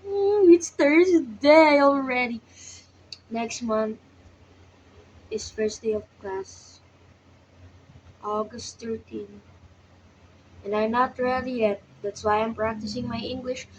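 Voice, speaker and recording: A woman's voice: a little high, fairly even intonation, slow pace, pauses often, a little hiss or hum, low-quality recording. A young woman in her twenties with a Filipino accent, talking to herself in a casual vlog to practice English, hesitant and self-conscious, sleepy. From Philippines. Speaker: vlogger. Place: small workplace counter, indoors. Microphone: phone held nearby.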